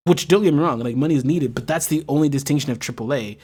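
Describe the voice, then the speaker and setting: A man's voice: a little high, fast talker, darkish tone, slightly rough. An American man hosting a casual livestream chat, talking in an impassioned, slangy way and swearing freely. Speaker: livestream host.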